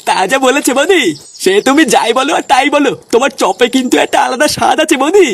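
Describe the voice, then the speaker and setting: Actor as cartoon village man, high voice, fast, chatty, excitable, familiar, faint noise. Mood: jovial. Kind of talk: comic Bengali cartoon dubbing.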